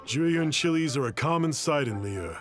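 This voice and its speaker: A mild young cook in an anime game, played by a man. His mid-pitched voice rises and falls expressively, friendly yet wistful. Soft music sits behind it. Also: lively intonation, normal speed, natural voice. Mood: helpful. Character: mild, wistful young male cook, plain-spoken and loyal. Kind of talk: anime-style game voice acting.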